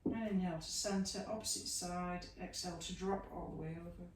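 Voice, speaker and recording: A woman teaching a yoga class, giving slow, soothing guidance through stretches and a guided relaxation. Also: on the low side, steady tone. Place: room, recorded at a distance from the camera mic. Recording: echoey room, mic at a distance, faint hiss.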